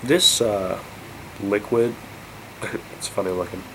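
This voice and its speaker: Stuffy-nosed young guy rambling on camera, lowish voice, faint ac hum behind. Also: takes it slowly, pushed and bright, rough recording. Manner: rambling, incredulous, many fillers and restarts. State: congested. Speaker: vlogger. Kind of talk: casual rant to camera.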